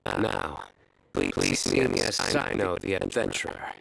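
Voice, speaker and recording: A man voicing a solemn young adventurer in an anime-style game, deadpan, deliberate and pedantic, earnest even about bad jokes. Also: on the low side, creaky, dry recording, full character voice, poor audio. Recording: faint music behind.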